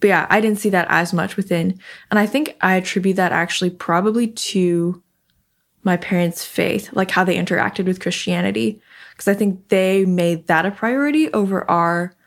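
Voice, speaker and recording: A woman's voice: fairly low pitch, moderate pace. A young woman from the Seattle area, talking reflectively as an interview guest, with frequent ums. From Seattle area, United States. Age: young adult. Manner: reflective, lots of ums and soft laughs. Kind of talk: casual conversation.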